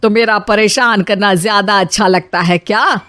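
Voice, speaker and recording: A woman's voice: mid-range voice, fairly even intonation, normal speed, a bit bright, no echo, clean studio sound, lightly acted. A woman playing a domineering older mother-in-law in a dramatized family story, with a dramatic, scolding manner. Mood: reflective.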